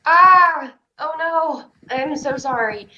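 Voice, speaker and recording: A woman's voice: high-pitched, slow pace, sharp tone, highs cut off, full character voice. A woman playing an anxious, insistent young girl in a staged play reading, earnest and protective. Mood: flustered. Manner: earnest, flustered, pressing.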